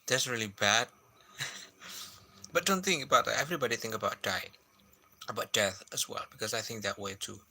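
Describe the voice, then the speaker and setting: A soft-spoken man, chatting with viewers on a late-night phone livestream. His voice sits low with plenty of gravelly fry, yet sounds very bright and sharp. He talks unhurriedly and stops often to hunt for the right word. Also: brisk, long pauses. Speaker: live streamer.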